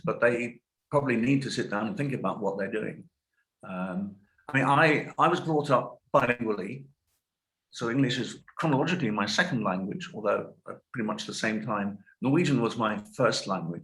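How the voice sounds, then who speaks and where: A man's voice: on the low side, fairly quick, a bit bright, poor audio. A man in his sixties, a guest lecturer answering audience questions over a video call, thoughtful and hedging as he corrects himself.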